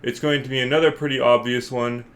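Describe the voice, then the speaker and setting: Man, congested, gaming channel host, casual commentary, rambling, lots of fillers, mid pitch. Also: level tone, fast, fairly bright tone. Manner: rambling, filler-heavy, thinking aloud. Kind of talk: casual video game commentary.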